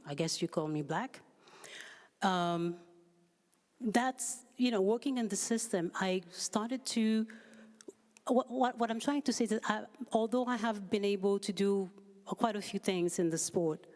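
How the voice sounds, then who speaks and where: A woman's voice: medium pitch, a little mellow. A woman from Haiti giving an unscripted personal account on a panel, searching for words as she goes, in a reverberant hall. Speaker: panel speaker. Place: large hall at a public forum.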